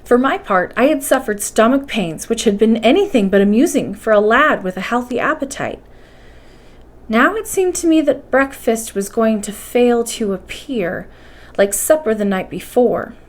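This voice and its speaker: A woman reading a bedtime story aloud with expressive, carefully enunciated narration. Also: fairly high pitch, moderate pace. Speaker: storybook reader.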